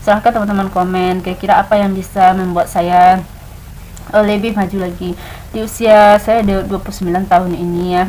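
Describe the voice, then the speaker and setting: A woman's voice: medium pitch, fairly even intonation, normal speed, darkish tone, a little hiss or hum, poor audio. A woman in her late twenties, a stay-at-home mother, talking casually to camera with many hesitant fillers and restarts. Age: 20s. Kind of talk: casual talk to camera, practicing storytelling.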